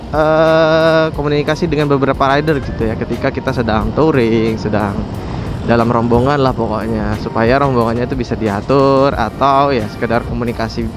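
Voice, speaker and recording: Casual man vlogging over music. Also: medium pitch. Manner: conversational, explanatory, often checks in with listeners. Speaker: motorcycle vlogger. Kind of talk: casual motovlog talk to viewers.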